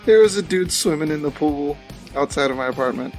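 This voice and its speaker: A man's voice: on the high side, lively intonation, fairly quick, faint music behind. A man co-hosting a podcast, throwing in short dry quips during casual chat. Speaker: podcast co-host.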